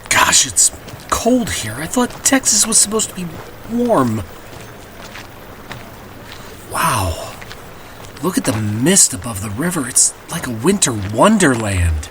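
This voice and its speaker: A man's voice: mid-range voice, big pitch swings, a little slow, background noise. A man playing an earnest, polite, wide-eyed traveller in an audio drama, speaking expressively. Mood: wonder.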